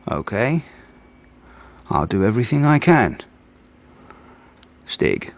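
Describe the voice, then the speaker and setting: An actor playing a weary, stubborn police detective in a radio drama, terse and dry in manner. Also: male voice, lowish voice, big pitch swings, takes it slowly, exaggerated voice. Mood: firm, resigned.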